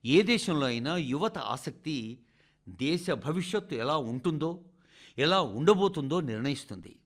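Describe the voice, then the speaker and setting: A man reading a scripted radio address in a formal, measured oratorical manner, speaking directly to the listeners. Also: on the high side, lively intonation. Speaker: radio reader of a translated national address.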